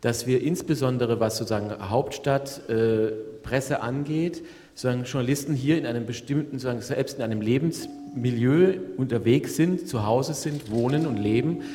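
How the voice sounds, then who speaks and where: A man speaks as a guest politician on a panel. He answers haltingly, filling gaps with hesitations and restarting his sentences. His voice sits in a middle pitch range and carries a long reverb from the hall. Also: warm tone, soft background music. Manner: hesitant, many fillers and restarts. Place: conference stage.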